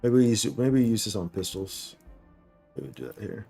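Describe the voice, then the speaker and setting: A man's voice: lowish voice, normal speed, vocal fry, warm tone, husky. A man doing casual live gameplay commentary, thinking aloud in slangy, repetitive phrases. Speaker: game livestreamer. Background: faint game music.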